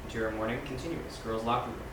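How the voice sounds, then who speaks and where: Fast-reading man in a very echoey room. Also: mid-range voice, fairly even intonation. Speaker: reader of scene directions. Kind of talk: scripted narration at a screenplay table read. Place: large echoey room, far from mic. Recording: echoey, noisy room from far off.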